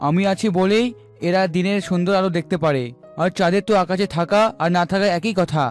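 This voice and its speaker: A man's voice: sits high, fairly even intonation, brisk, faint music behind, close to an everyday voice. A man voicing a proud, bickering talking sun or moon in a cartoon fable. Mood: boastful bickering.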